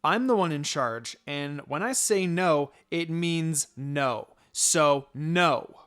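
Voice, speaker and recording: A man voicing a young female ninja in a story reading, firm and sardonic but secretly soft-hearted. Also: on the high side, expressive, slow pace, smooth. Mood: stern, teasing.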